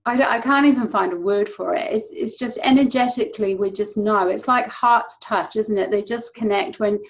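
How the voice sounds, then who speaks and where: A woman's voice: mid-range voice, normal speed, highs cut off. A woman from England with an English accent, hosting an interview and talking about spirituality over a video call. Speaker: interview host. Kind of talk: casual interview chat.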